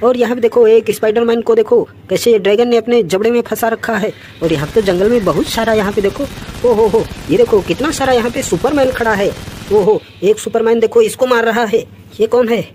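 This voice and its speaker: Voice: medium pitch, a little fast, darkish tone. A host narrating toy play for children in a playful, exclamatory way, full of repeated little cries of wonder. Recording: very noisy background.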